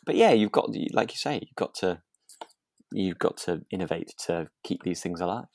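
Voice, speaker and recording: Man, low voice, tentative conversational co-host thinking aloud, dry recording. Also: lively intonation, moderate pace, vocal fry, a little mellow, dry recording. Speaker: podcast co-host. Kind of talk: relaxed chat discussing a radio drama.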